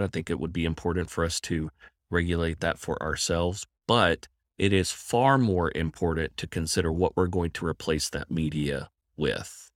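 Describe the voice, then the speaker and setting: Deep-voiced man, measured podcast guest. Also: no room sound, studio quality. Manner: measured, thoughtful, repeats words while thinking. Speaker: guest, counselor and evangelist. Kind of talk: podcast interview answers.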